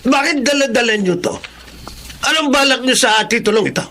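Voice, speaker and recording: A man's voice: extremely high pitch, a little fast, bright, forceful tone, slight background noise. A man playing a stern, suspicious middle-aged uncle in a radio drama, scolding and accusing. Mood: angry, demanding. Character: stern, suspicious uncle, threatening his scheming nephews.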